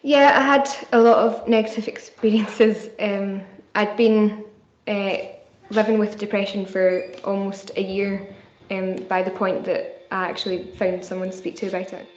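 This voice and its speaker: A woman's voice: medium pitch, little pitch movement, moderate pace. Young woman, level hesitant testimony, echoey dull sound. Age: young adult. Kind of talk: video testimony. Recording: roomy, dull video soundtrack, faint music.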